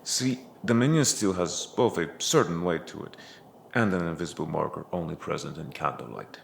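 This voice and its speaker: Man acting a gravelly, dry police chief. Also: deep voice, lively intonation, normal speed, slight background noise, exaggerated voice. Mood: condescending.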